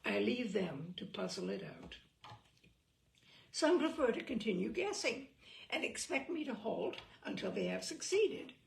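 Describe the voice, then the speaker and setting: Creaky voiced lady reading a witty essay out loud, kinda theatrical, pitch jumps around, roomy. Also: big pitch swings, unhurried. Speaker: host and reader. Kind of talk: expressive literary reading of a humorous essay. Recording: roomy echo, distant microphone.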